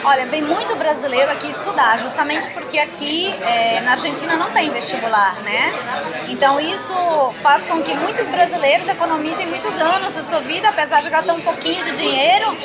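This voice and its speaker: A woman with a Brazilian accent chats casually as an interview guest, telling stories in an animated way. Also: sits high, a little fast, over a noisy crowd. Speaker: interview guest, Portuguese teacher. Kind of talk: casual chat with anecdotes.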